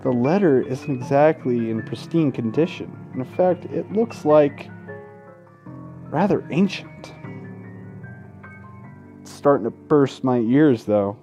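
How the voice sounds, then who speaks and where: Crude, slow-paced man narrating over music. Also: mid-range voice, lively intonation, dark tone. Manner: crude, profane, mocking. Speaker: let's play host. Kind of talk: dramatic game read-aloud with crude asides. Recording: music behind the voice. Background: game music.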